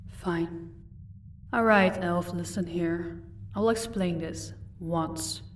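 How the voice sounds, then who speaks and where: A woman's voice: lowish voice, slow, far from the mic, a little hiss or hum. A woman playing a proud, sardonic vampire captor in an audio roleplay, commanding and dryly sarcastic. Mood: cool, explaining.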